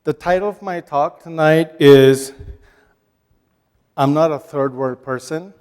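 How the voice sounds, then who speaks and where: A man's voice: on the high side, darkish tone, clean tone. A man from Nepal giving a prepared, reflective talk to a university audience in a lecture hall. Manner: measured, reflective storytelling. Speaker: guest speaker. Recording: reverberant hall.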